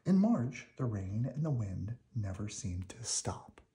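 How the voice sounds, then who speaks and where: A man narrating a children's picture book in an expressive read-aloud style. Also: lowish voice, measured pace.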